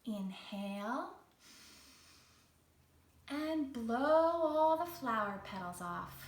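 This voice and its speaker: A woman's voice: on the high side, lively intonation, very slow, slight background noise. A woman speaking as a children's read-along host and teaching artist, in an encouraging, theatrical storytelling manner with carefully phrased lines. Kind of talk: guided exercise and storytelling for young children. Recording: roomy echo, distant from the mic.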